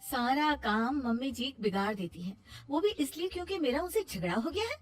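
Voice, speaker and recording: A woman's voice: sits high, very animated, normal speed. A woman playing a wronged young wife, earnest and protesting, in a cartoon moral story. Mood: accusing.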